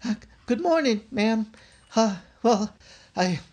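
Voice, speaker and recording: A man's voice: high-pitched, expressive, slow, a little mellow. An actor playing a blustering, easily flustered family man in old radio comedy style, stammering and exclaiming. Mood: shy, flustered. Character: blustering, bashful, flustered husband and father, comic everyman. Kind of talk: 1940s-50s radio sitcom acting. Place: indoors at home on a video call.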